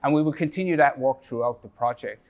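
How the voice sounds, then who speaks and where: A man presenting a project update in a measured, scripted way, reading prepared remarks. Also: mid-range voice, darkish tone.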